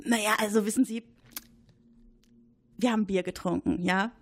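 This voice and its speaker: A woman's voice: medium pitch, fairly even intonation, normal speed, lightly acted. A woman playing a chatty, gossipy male supervisor at a military base in an improvised audio drama, rambling and hesitant as he points at a colleague. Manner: rambling, hesitant, eager to accuse.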